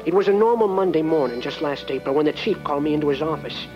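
A man's voice: on the high side, animated, fast talker, muffled recording, over music, natural voice. An actor narrating as an earnest, naive small-town male cop, melodramatic and jittery. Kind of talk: comic hard-boiled narration.

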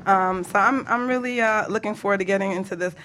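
A woman's voice: mid-range voice, quick pace, a bit bright, a little hiss or hum. A woman hosting a radio show in a casual, chatty style. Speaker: radio show host and life coach.

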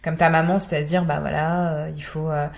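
A woman's voice: deep voice, level tone, a little fast, creaky. A woman co-hosting a casual conversation, asking thoughtful questions with some fillers.

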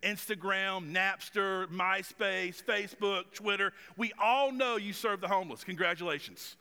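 A man's voice: sits high, measured pace, creaky, sharp tone, clean tone, long reverb tail. A man of about forty preaching a sermon as pastor in a conversational, self-deprecating way, with some stumbles and fillers. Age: 40s. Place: church stage with long reverb.

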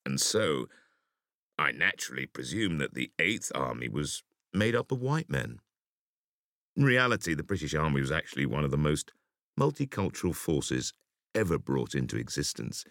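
A man reading a conversational essay for an audiobook in a deliberate, expressive storytelling manner. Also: a bass voice, no room sound, clean studio sound. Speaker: audiobook reader.

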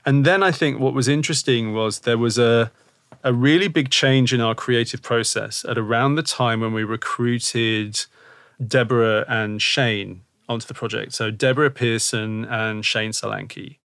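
A man's voice: mid-range voice, steady tone, moderate pace, fairly bright tone, no room sound, studio quality. A man hosting a podcast, chatting casually and thinking aloud with lots of fillers. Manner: rambling, thinks aloud with many "like" and "kind of". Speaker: podcast host.

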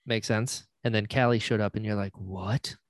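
A man's voice: fairly low pitch, steady tone, normal speed, no echo, clean studio sound. A man interviewing a guest in a casual, joking, self-deprecating way. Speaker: podcast host, interviewer.

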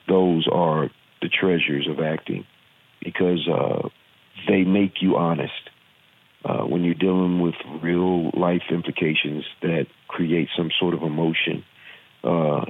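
A man with a Southern accent answers interview questions in an earnest, reflective way, pausing often on fillers. His voice sits very low. The sound is thin and phone-like, as if over a remote call. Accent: Southern American. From Virginia. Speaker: actor and former football player. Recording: tinny phone-like line.

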